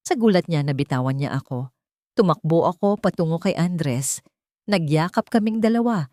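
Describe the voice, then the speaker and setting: Low-voiced woman narrating, close dry sound. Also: dark tone, dry recording. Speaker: story narrator. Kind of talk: dramatic scripted story narration in first person.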